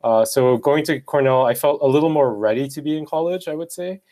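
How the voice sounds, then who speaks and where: A young man in his twenties from South Korea, speaking in a measured way with fillers in a casual conversation. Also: fairly high pitch, mellow. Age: 20s. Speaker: guest.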